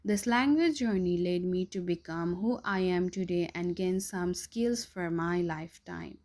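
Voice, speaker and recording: Young woman, Nepali accent, slightly low pitch; careful, steady podcast read. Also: measured pace. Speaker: student podcaster. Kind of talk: read-aloud personal podcast.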